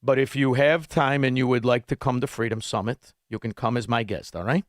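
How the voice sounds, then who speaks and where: A man's voice: mid-range voice, steady tone, brisk, no echo, clean studio sound. A man hosting a call-in radio show, ranting bluntly with insults and rambling asides. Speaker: radio talk show host. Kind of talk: combative call-in talk radio. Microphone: studio microphone.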